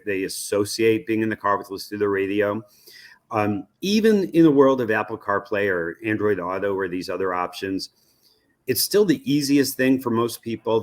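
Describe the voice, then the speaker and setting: A man with an American accent giving a conversational expert interview, explaining things and thinking aloud with frequent asides. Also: lowish voice. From United States. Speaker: media research executive, interviewee.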